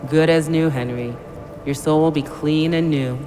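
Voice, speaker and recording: A man's voice: on the high side, fairly even intonation, moderate pace, a little mellow, soft background music, close to an everyday voice. An actor playing the luring ghost of a dead man in an audio drama, persuasive and soothing yet menacing. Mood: coaxing, menacing. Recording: long reverb, noisy ambience.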